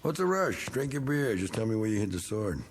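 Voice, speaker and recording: An actor playing a needling, bitter middle-aged American war veteran with folksy, slangy talk in a vintage TV drama. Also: male voice, fairly low pitch, sing-song, fairly quick, a little hiss or hum. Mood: mocking. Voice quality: gravelly. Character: needling, bitter male war veteran, rough working man. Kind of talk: 1960s television drama acting.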